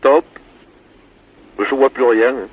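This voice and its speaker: A man with a rough, unclear voice talks over a thin telephone line. His pitch is ordinary. He answers plainly and compliantly, in a casual, matter-of-fact way. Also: fairly even intonation, takes it slowly. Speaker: prank call victim. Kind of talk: casual phone conversation. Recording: muffled, narrow phone line.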